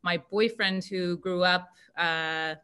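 A woman author chats easily from home, her tone bright and forceful. Her voice sits a little low. She laughs easily as she talks. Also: steady tone, slow pace, clear, resonant tone. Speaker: cookbook author. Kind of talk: panel answer. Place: home room. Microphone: video call.

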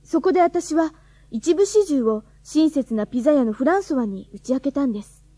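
A woman playing a plucky, cheeky high-school girl with worries, in a Japanese radio drama. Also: animated, normal speed, dark tone, no echo. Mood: wry narrating.

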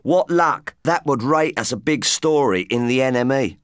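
A man's voice: medium pitch, animated, normal speed, clean tone, no echo, studio quality, lightly acted. A man voicing a witty, flippant young rock singer who mocks, as audiobook dialogue. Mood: mocking. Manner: mocking, flippant.

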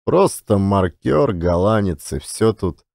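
A man's voice: on the low side, expressive, takes it slowly, vocal fry, a little mellow, no echo. Dry-sounding male audiobook narrator. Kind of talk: expressive literary storytelling.

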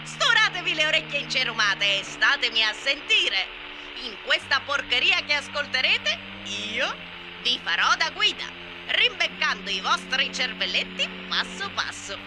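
A woman's voice: high-pitched, very animated, normal speed, music in the background, rough recording. An actress playing a foul-mouthed, mocking male jester in a theatrical radio drama, taunting and insulting.

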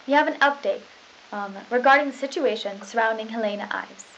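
A young woman co-anchoring a scripted school news broadcast from a studio desk, reading in an earnest, measured way. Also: a little high, a bit bright. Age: young adult. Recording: lo-fi hissy old tape.

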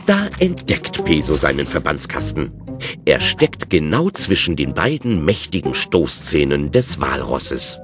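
A deep-voiced male storyteller narrates a children's adventure audio drama. His delivery swings widely and expressively, with music playing clearly behind him. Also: wildly swooping pitch, measured pace, exaggerated voice. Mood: narrating.

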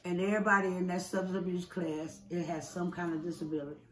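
A woman's voice: on the low side, fairly even intonation, quick pace, fairly bright tone, reverberant, far from the mic, poor audio. A woman telling personal stories in an emphatic, profane, repetitive way in a casual street interview. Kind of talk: casual emotional personal storytelling.

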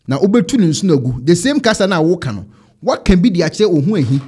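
A man's voice: sits high, sing-song, fast talker, mellow, breathy edge. A man in his thirties with a Ghanaian accent giving emphatic, opinionated reaction commentary, repeating phrases to drive them home. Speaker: online commentary show host. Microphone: studio microphone close in front.

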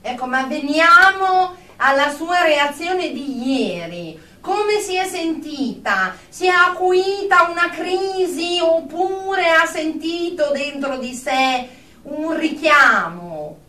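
Woman acting a high, bright, stern therapist. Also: expressive, full character voice. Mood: clinical. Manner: measured, officious, clinical. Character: stern, formal, controlling female therapist. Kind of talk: Italian radio drama acting. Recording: echoey room, distant mic.

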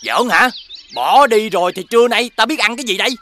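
An actor voicing a gruff, greedy bull fisherman in a children's cartoon, with a dismissive delivery. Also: medium pitch, expressive, fast talker, bright, forceful tone, a little hiss or hum. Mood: scornful.

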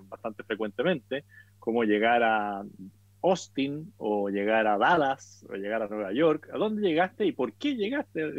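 A man's voice: mid-range voice, no room sound. Male interviewer, curious and probing; dull, dry call audio. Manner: curious, asks quick follow-up questions. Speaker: host. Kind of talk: interview over video call.

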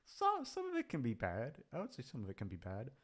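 A man's voice: medium pitch, wildly swooping pitch, fairly quick. A man chatting casually in a rambling, hesitant way, swearing freely as he goes. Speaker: ASMR voice actor, channel creator. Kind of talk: rambling casual farewell chat.

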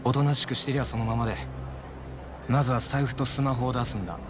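A man playing a glib, sly taxi driver from a video game. He talks informally in a mid-pitched voice, like a small-time crook with a sales patter. Vehicle and ambient noise is clear behind him. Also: fairly even intonation, fast, natural voice. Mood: cold, threatening. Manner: casual, glib, informal speech. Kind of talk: Japanese video game cutscene acting.

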